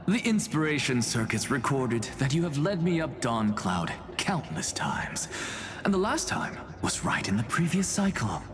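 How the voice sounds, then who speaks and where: A man's voice: mid-range voice, sing-song, measured pace, over music. A man voicing a wry, theatrical scholar in a video game, sardonic and drawing out his phrases. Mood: wry, teasing. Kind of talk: dramatic video game voice acting. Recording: noisy ambience behind the voice.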